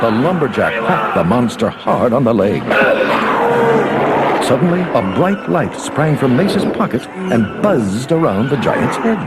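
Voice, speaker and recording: A man's voice: medium pitch, poor audio. A man reading a scripted children's adventure story as a dramatic narrator, over music.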